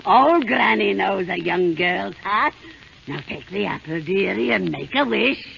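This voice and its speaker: A woman's voice: mid-range voice, animated, a little slow, gravelly, fairly bright tone, breathy edge, exaggerated voice. An actress playing a wicked old witch queen disguised as a crone, with a crackly, cackling voice, coaxing and then gloating, in a 1930s radio drama. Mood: wheedling. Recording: scratchy lo-fi 1930s radio broadcast.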